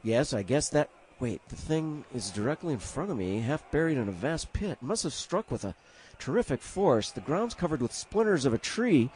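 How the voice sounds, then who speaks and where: A man playing an excitable, courteous 1930s radio news reporter with formal announcer diction that gets flustered. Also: medium pitch, normal speed, a little hiss or hum, lightly acted. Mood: excited, flustered. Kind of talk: 1930s radio drama acting.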